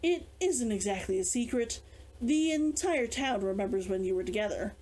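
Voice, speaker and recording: A woman reading aloud a storybook man who is measured, matter-of-fact and teasing. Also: mid-range voice, expressive, a little mellow, natural voice, rough recording. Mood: dry, insistent.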